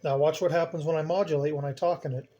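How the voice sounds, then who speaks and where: A man's voice: on the high side, steady tone, fast talker, warm tone, highs cut off. A man giving an unscripted hands-on equipment tutorial, plain-spoken and explaining step by step, repeating key points. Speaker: hobbyist demonstrator, channel host.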